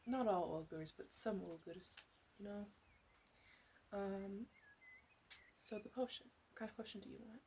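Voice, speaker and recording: A woman playing a flirtatious witch who sells potions, rambling wryly and hesitantly in a soft ASMR roleplay addressed to the listener. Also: medium pitch, measured pace, pauses often, slight background noise, natural voice. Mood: teasing, coy. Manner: hesitant, rambling, wry, with asides.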